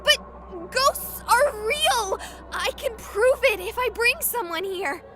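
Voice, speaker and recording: A woman's voice: extremely high pitch, expressive, slow pace, heavily acted. An actress voicing a young woman streamer, skeptical and sarcastic but rattled by a haunting. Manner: sarcastic, flustered. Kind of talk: visual novel voice acting.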